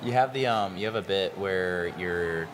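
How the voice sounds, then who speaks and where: Low-voiced podcast host, brief and encouraging. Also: male voice, normal speed, background noise. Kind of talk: casual interview chat.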